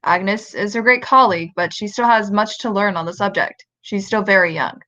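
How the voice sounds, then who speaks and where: A woman's voice: mid-range voice, normal speed, pushed and bright, muffled recording, natural voice. A woman acting a driven, defensive scientist in an amateur radio play, insistent and formal.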